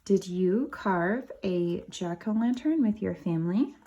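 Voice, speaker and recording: A woman's voice: mid-range voice, animated, a little slow, dark tone, dull audio, a little hiss or hum. A woman teacher reading a picture book aloud to young children, adding simple explanatory asides.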